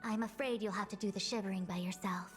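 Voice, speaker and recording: A woman plays an enigmatic, erudite diviner from a video game. The delivery is formal, measured and analytical, at a medium pitch. Long reverb surrounds the voice, with faint music behind. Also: fairly even intonation, lightly acted. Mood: calm, matter-of-fact.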